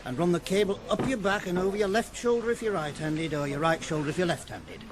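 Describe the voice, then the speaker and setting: An actor playing a no-nonsense but decent mine deputy, giving firm instructions in a radio drama. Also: male voice, high voice, fast talker, crowd noise, natural voice. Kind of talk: 1980s radio drama acting. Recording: noisy vintage radio play.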